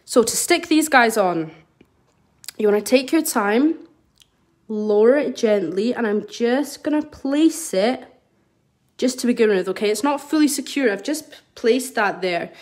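A woman from Scotland with a mild Scottish accent, a nail artist giving a live tutorial and chatting to viewers as she works, recorded on a phone over her desk. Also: a little high. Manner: chatty, reads out viewer comments while working. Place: indoor nail studio desk. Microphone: phone camera over the work table.

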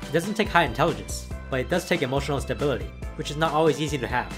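Brief: man, channel narrator; brisk explanatory voice-over, faint music bed. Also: mid-range voice, expressive. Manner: plain explanatory delivery. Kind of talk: scripted voice-over commentary. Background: background music.

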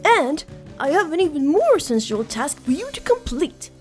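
A woman's voice: high voice, sing-song, normal speed, faint music behind. A woman voicing a young boy dragon, an earnest and slightly sarcastic sidekick in a cartoon fan dub. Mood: reading aloud.

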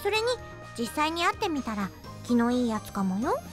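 A woman's voice: on the high side, sing-song, very fast, faint music behind. An actress voicing a cool, composed older teenage schoolgirl in a Japanese visual novel.

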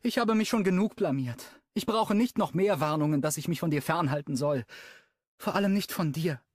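Audiobook read: male narrator doing a tearful, proud young woman, raised pitch, louder and pleading. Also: animated, normal speed, no echo, clean studio sound. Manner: pleading, emotional.

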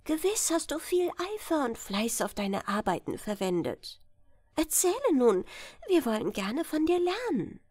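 Storybook princess, played by a woman reader: high-pitched, gentle, courteous, unhurried delivery. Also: big pitch swings, no echo. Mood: gently expectant. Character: gentle, gracious young fairytale princess, politely earnest.

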